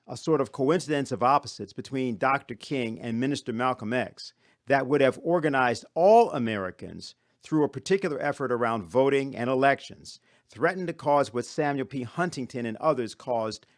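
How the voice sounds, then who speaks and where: A man's voice: mid-range voice, lively intonation, dry recording. Formal man presenting, very dry sound. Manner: formal, measured, serious. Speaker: conference speaker. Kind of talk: scripted lecture-style presentation.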